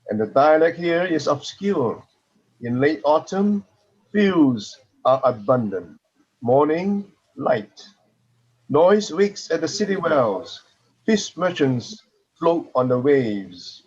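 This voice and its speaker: A man reading poetry aloud in a measured way, with dull, lo-fi sound. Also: mid-range voice, slightly rough.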